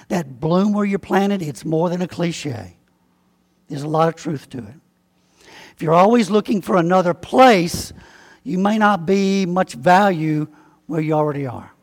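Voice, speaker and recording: A man from Alabama with a Southern accent, preaching a sermon in a folksy, conversational way and slowing down to stress key words. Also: on the high side. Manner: folksy, conversational preaching with slow emphatic spelling-out. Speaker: pastor. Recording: long hall reverb.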